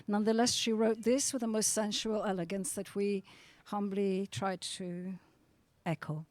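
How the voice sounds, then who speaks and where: A woman speaking to a poetry event as translator and reader, with deliberate, dramatic phrasing. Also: medium pitch, level tone, a little mellow. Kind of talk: introduction and expressive poetry reading. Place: outdoors at a gallery event.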